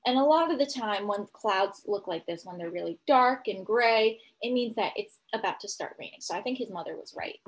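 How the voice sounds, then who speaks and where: A woman teacher reading a picture book to young children, explaining it as she goes and thinking aloud in an animated way. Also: medium pitch, moderate pace, few pauses, rough recording.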